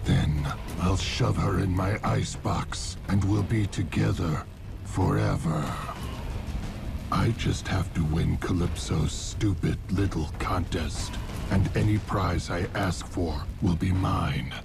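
An actor playing a murderous, obsessive clown killer who gloats in slow, menacing narration. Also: male voice, steady tone, full character voice. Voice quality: low gravelly drawl. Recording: loud music score behind.

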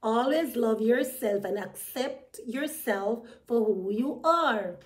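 A woman's voice: on the high side, takes it slowly. A woman storyteller reading aloud for children. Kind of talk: read-aloud narration for children.

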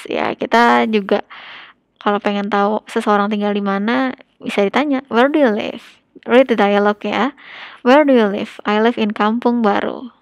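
A woman with an Indonesian accent giving an English lesson as a teacher, explaining and slowly reading out example phrases in an instructional way. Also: medium pitch, steady tone, creaky, no room sound. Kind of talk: slide-based language lesson, mixing explanation with read-out example sentences.

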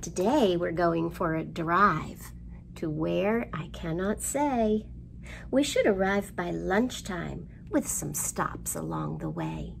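Middle-aged woman, children's storytime reader, unhurried, expressive sing-song delivery, creaky edge, indoor room ambience. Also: fairly low pitch, a little hiss or hum. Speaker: children's library storytime host. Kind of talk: storytime rhymes and picture book reading for young children.

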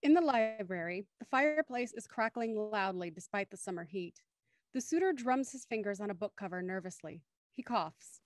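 A woman's voice: mid-range voice, expressive, normal speed, no room sound. Woman narrating radio mystery, dry sound.

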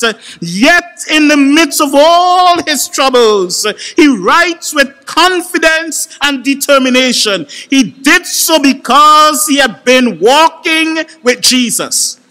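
A man's voice: very high voice, pushed and bright. A middle-aged man preaching a sermon at a church podium, impassioned and emphatic. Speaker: pastor. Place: church sanctuary with congregation. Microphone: podium microphone. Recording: long church reverb.

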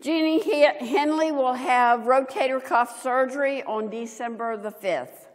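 An older woman, a pastor, reading prayers and liturgy in a solemn, measured manner, phrase by phrase, at a church lectern. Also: high-pitched. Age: older, 70s. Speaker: pastor leading worship. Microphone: gooseneck lectern microphone and clip-on. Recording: reverberant church hall.

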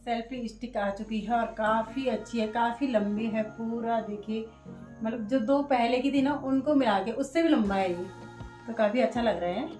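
A woman's voice: a little high, normal speed, a little mellow, echoey room. A woman, chatting casually and repetitively as she vlogs at home on a phone camera. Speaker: vlogger. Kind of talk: casual family vlog chat. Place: living room at home. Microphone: handheld phone camera. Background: background music.

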